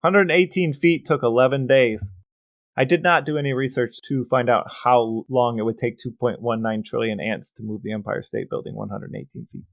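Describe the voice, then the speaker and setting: A man's voice: medium pitch, steady tone, normal speed, gravelly, no echo. A man co-hosting a casual podcast, explaining facts and figures at length with some banter. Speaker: podcast co-host.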